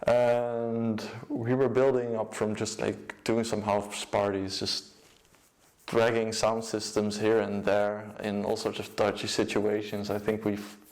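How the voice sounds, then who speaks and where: A young man with a Dutch accent, a music producer and DJ, giving a casual, low-key account of his work. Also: completely flat delivery, measured pace, darkish tone. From Netherlands.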